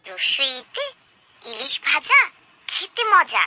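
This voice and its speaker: A woman reciting children's alphabet rhymes in a singsong way, enunciating each phrase deliberately as a presenter for small children. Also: high voice, huge pitch swings, sharp tone, dry recording.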